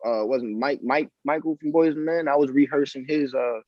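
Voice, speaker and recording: A man's voice: mid-range voice, moderate pace, muffled recording, slight background noise. A man with an ordinary mid-range voice talks easily as a podcast guest, laughing often as he looks back on the past. His delivery is loose and conversational. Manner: laughs often, relaxed and reminiscing. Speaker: guest artist. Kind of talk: casual conversation.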